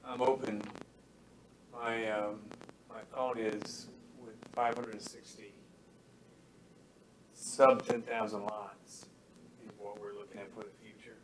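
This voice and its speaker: A man's voice: lowish voice, little pitch movement, slow, pauses often. A man on a town committee, speaking earnestly at a public meeting while losing his voice and repeating his key points. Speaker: committee member. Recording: faint room noise, meeting hall.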